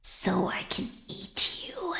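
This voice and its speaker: Slow, sadistic animatronic bird. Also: female voice, sharp tone, in a whisper. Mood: playfully menacing. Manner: drawn-out, teasing menace. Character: sadistic female animatronic bird, sweetly threatening. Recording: echoey.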